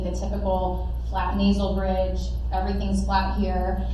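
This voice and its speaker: A woman from Trinidad with a Trinidadian accent talks to a room of parents in a chatty, encouraging way, doubling back on phrases for emphasis. Her voice is mellow and dark. It is heard from a distance in a very echoey room with faint background noise. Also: medium pitch. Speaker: panel speaker at a parent meeting. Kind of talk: casual presentation to parents. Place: meeting room with audience. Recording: echoey room, mic far off.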